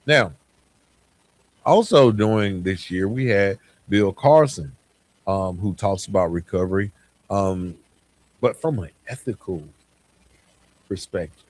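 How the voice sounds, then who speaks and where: A man hosting a podcast, talking solo in a reflective, plain-spoken way with emphatic pauses and conversational asides. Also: low-pitched, low-quality recording. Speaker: podcast host.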